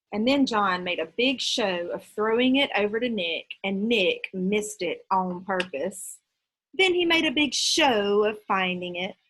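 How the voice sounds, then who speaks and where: A woman reading a children's story aloud in an expressive, teacherly storytelling style. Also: medium pitch.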